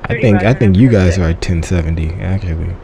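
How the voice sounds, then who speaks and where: Deep-voiced man, casual app demo. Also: fairly quick, crowd noise. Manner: slangy, joking, offhand. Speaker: app reviewer. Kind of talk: casual unscripted screen-demo review. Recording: clearly noisy background.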